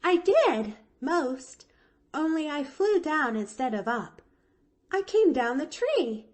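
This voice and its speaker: A woman's voice: sits high, sing-song, unhurried, a little mellow, pitched above the performer's own voice. A woman voicing a cheerful, innocent little girl in a storybook, with an eager, earnest, gushing manner. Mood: gleeful.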